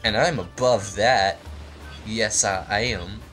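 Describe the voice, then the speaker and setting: A man hosting a video game let's play, giving rambling, joking commentary in a deadpan, tongue-in-cheek, meandering way. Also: lowish voice, slow pace, soft background music.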